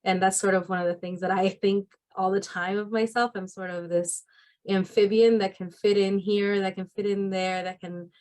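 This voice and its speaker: A woman's voice: medium pitch, flat delivery, moderate pace, a little mellow. A woman in her thirties from Argentina, talking as a guest on a panel, reflective and full of fillers and restarts. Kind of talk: casual panel talk.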